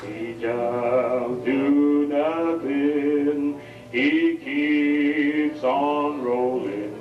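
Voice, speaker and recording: A man singing a slow show tune at a family reunion, with long drawn-out phrases. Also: on the high side, steady tone, music in the background. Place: reunion hall. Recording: dull lo-fi home video, roomy hall, mic far off.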